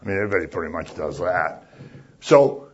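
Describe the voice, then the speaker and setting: A man preaching a sermon as a pastor, chatty and animated, acting out little voices as he talks. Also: sits low, fairly quick, muffled recording. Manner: conversational, animated, acts out voices.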